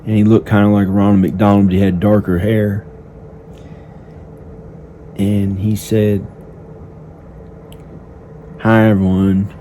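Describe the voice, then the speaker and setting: A man improvising a first-person story in a halting, simple, repetitive manner, with hesitant pauses. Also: on the low side, flat delivery, mellow. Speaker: amateur storyteller narrating own improvised story. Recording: noticeable background noise. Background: ambient sound effects.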